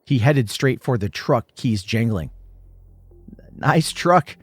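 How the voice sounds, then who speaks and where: A man's voice: medium pitch, unhurried, darkish tone, husky, dry recording. A man narrating a scripted first-person horror story in short, suspenseful, dramatic phrases.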